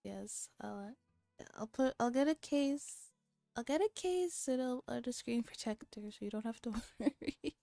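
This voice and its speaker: Female VTuber host, soft mellow tone, dry close mic with faint music behind, casual and playful chat. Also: a little high, lively intonation, measured pace, creaky, dry recording. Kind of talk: casual livestream chat, reading a visual novel aloud.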